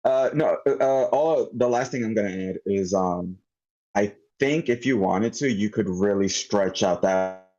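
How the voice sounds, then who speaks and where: Man, very deep pitch, hesitant, thinking aloud, casual critique, muffled low-fidelity call audio. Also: unhurried, darkish tone, faint music behind. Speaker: participant giving script feedback. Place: online group meeting. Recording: dull lo-fi online call audio.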